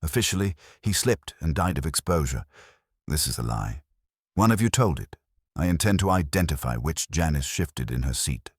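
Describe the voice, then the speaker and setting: A man plays a coldly logical detective in a mystery audiobook, with an extremely low, husky voice. He speaks formally and accusingly, his pitch swinging with emphasis. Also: lively intonation, moderate pace, no room sound, clean studio sound, exaggerated voice. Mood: stern, probing. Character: commanding, coldly logical male detective confronting suspects. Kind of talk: audiobook detective story dialogue.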